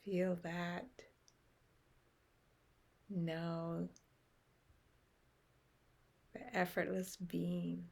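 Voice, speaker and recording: Slow, gravelly-voiced woman guiding meditation. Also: fairly low pitch, level tone. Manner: slow, gentle, with long pauses. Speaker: meditation guide. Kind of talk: guided meditation.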